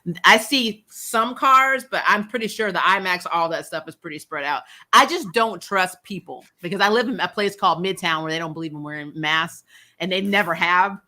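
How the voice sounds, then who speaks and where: A woman hosting a casual movie review chat, telling it in an animated, sarcastic way with plenty of swearing. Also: on the low side, moderate pace. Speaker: podcast host.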